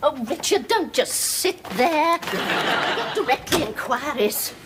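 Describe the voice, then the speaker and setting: An actress playing a pretentious, domineering middle-aged English housewife in a sitcom, with an affected, plummy voice. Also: female voice, high voice, expressive, slow, heavy background noise. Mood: bossy. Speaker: sitcom character.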